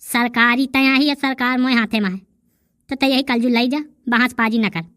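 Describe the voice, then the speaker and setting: Woman acting high, cheeky Bundelkhandi cartoon bickerer. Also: steady tone, moderate pace, a bit bright, no room sound, studio quality, natural voice. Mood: bossy. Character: cheeky, bickering rustic character in a village comedy cartoon. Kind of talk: dialect comedy cartoon dialogue.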